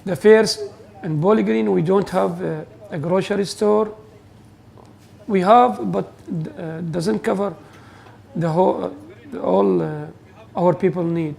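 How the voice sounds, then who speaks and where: A man's voice: high-pitched, slow, creaky, a little mellow. A man from Iraq, a grocery store co-owner speaking hesitantly in a documentary interview. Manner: hesitant, frequent "uh" fillers.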